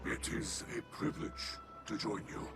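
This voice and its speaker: A man voicing a gentle, honourable alien warrior in a video game, with a gravelly, breathy growl and formal, halting, courteous speech. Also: close to an everyday voice. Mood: earnest, warm. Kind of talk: game voice acting. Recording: loud music bed behind the voice.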